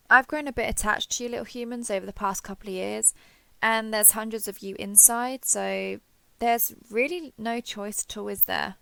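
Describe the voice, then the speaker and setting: A woman's voice: on the high side, gravelly, noisy background. A woman playing a friendly, chatty giant transforming robot soldier in an audio roleplay, joking and reassuring as she talks to the listener. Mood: urgent, resolute.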